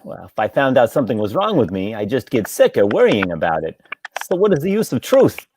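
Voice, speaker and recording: A woman's voice: extremely low pitch, big pitch swings, a little fast, a little mellow, slight background noise. A woman reading the part of a jealous, lovesick, talkative male barber in a stage comedy table read. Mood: blathering, evasive.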